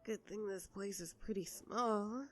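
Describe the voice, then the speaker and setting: A woman's voice: mid-range voice, natural voice. Woman playing a flustered, polite female pop star, music behind. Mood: weary, hushed. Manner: halting, conversational, apologetic. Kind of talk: intimate audio roleplay addressed to the listener.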